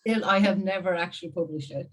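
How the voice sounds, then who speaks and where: A woman in her fifties with an Irish accent, telling her story as an interview guest in a chatty, animated way with frequent laughs. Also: on the low side, fast talker, rough recording. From Ireland. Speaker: guest marketing strategist. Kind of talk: casual interview talk.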